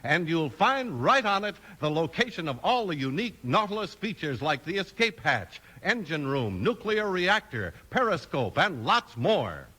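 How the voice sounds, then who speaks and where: Bright, animated American male radio announcer. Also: fairly high pitch, moderate pace, few pauses, husky. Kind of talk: 1950s radio commercial and scripted story narration.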